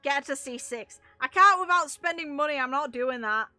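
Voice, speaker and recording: Bright-voiced young woman chatting on stream. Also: high voice, animated, normal speed, dry recording, faint music behind. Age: young adult. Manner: informal, rambling, mild swearing. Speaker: game streamer. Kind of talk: casual livestream chat with viewers.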